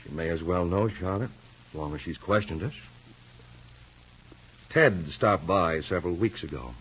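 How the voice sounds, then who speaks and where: An actor playing a calm, thoughtful husband in an old radio soap, speaking in a measured way, on a lo-fi vintage recording. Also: male voice, deep voice, slight background noise. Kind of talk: 1940s radio acting.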